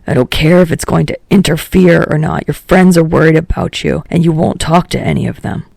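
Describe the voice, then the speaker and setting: A woman voicing a gruff, foul-mouthed teenage boy with a rough growl and a curt, irritable manner in an audio story. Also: deep voice, fairly quick, a little mellow, dry recording, full character voice. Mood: blunt, exasperated. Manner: curt, snapping, swearing.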